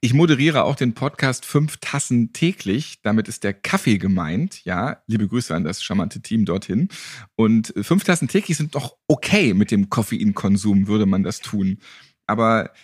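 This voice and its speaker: A man's voice: on the low side, expressive, normal speed, husky, no room sound, studio quality. A man hosting a podcast, chatting casually with flippant, joking asides. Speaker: podcast host. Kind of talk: casual interview chat.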